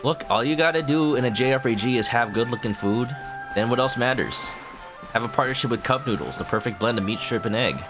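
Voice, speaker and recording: A young man chatting and joking through a game on his stream. His delivery is lively and bounces up and down in pitch. Game music plays clearly beneath his voice. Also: brisk. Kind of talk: casual let's-play commentary.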